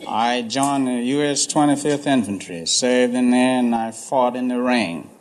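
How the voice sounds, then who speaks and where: A man's voice: medium pitch, unhurried. A man from Texas and Arizona giving brief, plain answers as a contestant on a 1950s radio quiz. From Texas and Arizona, United States. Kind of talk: answering interview questions. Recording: noisy 1950s radio show recording.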